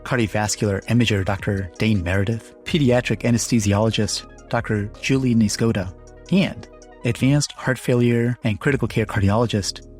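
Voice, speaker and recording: Upbeat male podcast co-host. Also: mid-range voice. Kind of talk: hosting a medical podcast.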